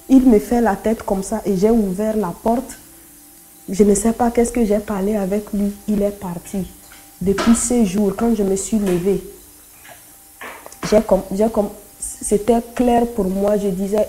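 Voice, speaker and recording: A woman's voice: medium pitch, warm tone, poor audio. A woman whose native language is Kotokoli, giving a personal religious testimony as a church speaker, telling it dramatically with emphatic repetition and acted-out dialogue. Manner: emphatic, repeats phrases, acts out quoted dialogue.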